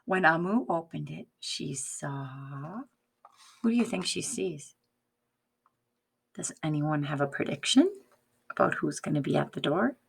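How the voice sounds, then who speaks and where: A woman reading a picture book aloud to children in a deliberate, expressive teacher's manner, prompting her listeners. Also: deep voice, gravelly, husky.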